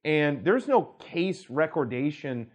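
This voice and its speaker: A man's voice: fairly high pitch, very animated, takes it slowly. A man, a law firm director, explaining legal concepts to camera in a conversational way, with frequent restarts and asides. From United States.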